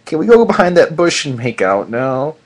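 A man's voice: on the high side, very animated, normal speed. A man voicing a whiny, pushy, impatient cartoon raccoon slacker in a fan fiction reading. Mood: whining. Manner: whining, impatient.

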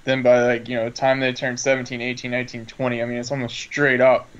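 A man's voice: medium pitch, flat delivery, fast, dull audio. A young man on a casual podcast over a video call with earbuds, thinking aloud as he explains.

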